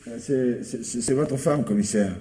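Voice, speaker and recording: Male actor as flustered junior policeman, mellow dark voice, stammering, deferential, radio mystery, faint noise. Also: medium pitch, normal speed, natural voice. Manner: stammering, awkward. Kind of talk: French radio mystery drama acting.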